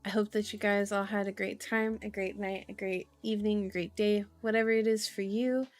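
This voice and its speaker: A woman's voice: mid-range voice, level tone, a little fast. A woman hosting a casual livestream, chatting and musing aloud as she reads out cards, often drawing out her words. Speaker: livestream host reading tarot and horoscopes. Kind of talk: casual livestream chat mixed with reading aloud.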